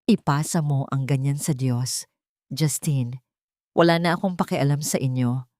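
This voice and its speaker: A woman voicing a calm, resolute wronged wife and mother in an audio drama, cold and composed. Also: rumbling low, measured pace, no echo, clean studio sound, voice pushed down.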